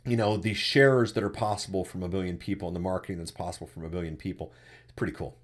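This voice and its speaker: A man in his forties with a deep voice, hosting a live webcast and answering chat questions about podcasting from his desk. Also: fast. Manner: animated, repeats words when starting a thought. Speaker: podcasting coach and webcast host. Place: indoors at a desk, webcam framing. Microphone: desktop USB microphone.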